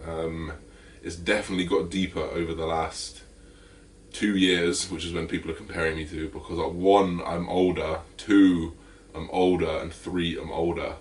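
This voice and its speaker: A man with a deep, slightly raspy voice, talking casually to camera in a fitness vlog with lots of fillers. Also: far from the mic, a little hiss or hum. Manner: energetic, chatty, full of fillers. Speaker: fitness vlogger.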